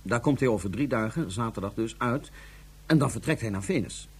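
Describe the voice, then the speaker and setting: An actor playing a composed, calculating ruler on Mars who speaks formally and deliberately, with small hesitations, in a radio drama. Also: male voice, mid-range voice, expressive, husky. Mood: calm, scheming. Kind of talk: Dutch science fiction radio drama acting.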